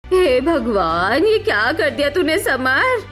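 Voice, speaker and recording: A woman's voice: sits high, sing-song, slow, music in the background, full character voice. Woman acting a scornful, scheming mother-in-law. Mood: outraged, scornful. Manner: melodramatic, scolding, conniving.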